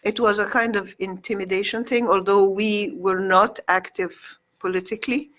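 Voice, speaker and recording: A middle-aged woman from Egypt, whose mother tongue is Arabic, chatting as a talk-show guest and pausing often with ums and restarts. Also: medium pitch, fairly even intonation, a bit bright. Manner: hesitant, many ums and restarts. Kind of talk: casual interview chat.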